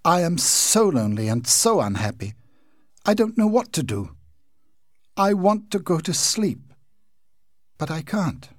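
A man's voice: a little high, sing-song, unhurried, pauses often, dry recording, clean studio sound, pitched above the performer's own voice. A man voicing a proud, self-pitying old male ghost who speaks pompously and theatrically. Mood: pleading.

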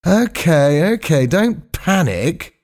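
A man's voice: fairly high pitch, huge pitch swings, unhurried, darkish tone, pitched above the performer's own voice, full character voice. A man voicing a malicious, defiant deckhand in an audiobook story, with a sarcastic drawl. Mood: dismissive.

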